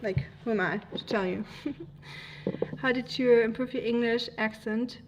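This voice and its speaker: A rambling, chatty woman with a German accent, her voice sitting a little high. She hosts a casual livestream and answers viewer comments. Faint room noise sits behind her. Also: normal speed, lo-fi sound. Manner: rambling, chatty, laughs easily. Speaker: livestream host.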